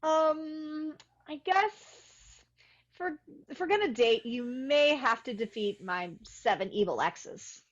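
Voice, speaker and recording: A woman's voice: high voice, animated, slow pace, full character voice. A woman playing a cool, aloof young love interest in a comedy table read, hesitant and understated, on a muffled mic.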